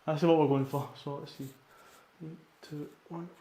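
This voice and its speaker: A man's voice: a little high, fairly even intonation, measured pace, warm tone, slight background noise. A Scottish man with a congested, sniffly voice from a cold, chatting informally as he talks through his workout on a vlog. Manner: informal, mildly sweary. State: getting over a cold. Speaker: fitness vlogger. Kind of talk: casual workout vlog chat and rep counting. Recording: roomy, echoing sound.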